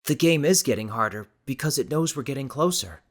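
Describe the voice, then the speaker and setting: A man's voice: mid-range voice, lively intonation, brisk, pitched above the performer's own voice, natural voice. A man acts out a teenage boy from an audiobook adventure, skeptical and sardonic. His mid-range voice sounds defensive and exasperated, faltering when he is cornered. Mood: uneasy, pensive. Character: exasperated skeptical eighteen-year-old American high school boy.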